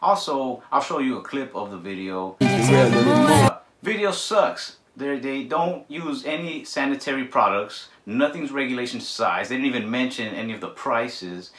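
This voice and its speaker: A man's voice: medium pitch, lively intonation, unhurried, hardly any pauses, reverberant, music in the background. A young man in his twenties hosting his own vlog, talking to the camera with dry, offhand humor from a small indoor room. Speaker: vlog host and barber. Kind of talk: casual deadpan talk to camera.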